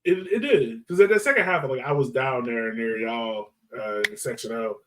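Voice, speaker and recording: A man's voice: mid-range voice, moderate pace, background noise. A man hosting a sports podcast in casual discussion, thinking aloud in an informal, conversational way.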